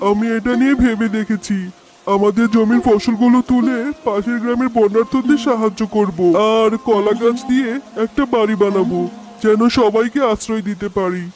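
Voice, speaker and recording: Man voicing a high-pitched, kindly fox husband. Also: fairly even intonation, moderate pace, darkish tone, lightly acted, rough recording. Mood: determined. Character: kind, resourceful male fox husband. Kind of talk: cartoon dialogue. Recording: music behind the voice.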